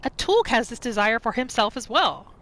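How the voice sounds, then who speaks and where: Bright-voiced woman narrating a game roleplay. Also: a little high, lively intonation, moderate pace, a little hiss or hum. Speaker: gaming video creator, roleplayer. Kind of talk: roleplay gameplay commentary, narrating her character in third person. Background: faint music.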